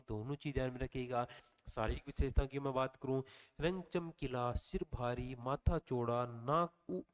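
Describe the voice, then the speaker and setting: A man teaching a revision lecture with a mid-pitched voice, throat a little sore. He keeps quizzing the listeners and then gives the answer himself, repeating key points. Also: a little mellow. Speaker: exam coaching teacher.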